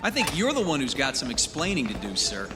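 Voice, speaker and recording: A man's voice: medium pitch, wildly swooping pitch, fairly quick, music in the background. A man in a radio drama playing a cynical, sarcastic media man with a challenging, sardonic manner.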